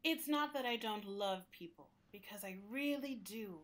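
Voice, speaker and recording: A woman playing a talking library building in a radio play, gentle but fussy, with a confiding, exasperated manner. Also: medium pitch, lively intonation, moderate pace, close to an everyday voice. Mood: serene, candid. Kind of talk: radio play acting in interview form.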